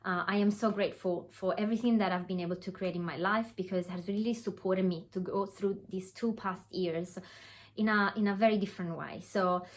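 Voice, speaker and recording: A woman's voice: medium pitch, steady tone, fairly quick. A woman in her thirties from Italy with an Italian accent, talking straight to her phone camera in an earnest way, with many fillers and restarts. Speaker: online business coach. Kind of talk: direct-to-camera webinar talk. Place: home room.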